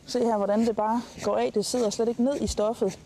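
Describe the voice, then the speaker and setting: A Danish woman hosts a travel programme in a mellow, relaxed voice. She gives practical tips straight to camera. Outdoor ambience sits faintly behind her. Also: a little high, a little hiss or hum. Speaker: travel show host. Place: outdoors at a campsite, in and beside a tent awning.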